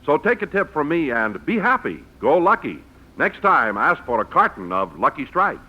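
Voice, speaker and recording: A man's voice: fairly high pitch, big pitch swings, normal speed, slightly rough. A man reading scripted 1950s radio advertising and announcements. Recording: dull old radio recording.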